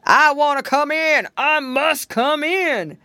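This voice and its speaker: Woman acting out a loud, wailing storybook bear. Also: sits high, huge pitch swings, unhurried, dry recording, clean studio sound, pitched above the performer's own voice, heavily acted. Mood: demanding, loud.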